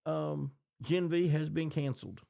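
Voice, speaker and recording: A man's voice: a little high, fairly even intonation, measured pace, gravelly, warm tone. An American man hosting a podcast, chatting casually about TV shows in reaction to them. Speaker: podcast host.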